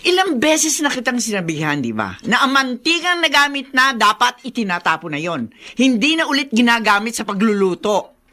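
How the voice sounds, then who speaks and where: High, sharp, scolding Filipino mother-in-law. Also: sing-song, normal speed. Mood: scolding, indignant. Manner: nagging, accusatory, sharp-tongued. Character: domineering, scolding middle-aged mother-in-law. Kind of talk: Filipino radio drama acting.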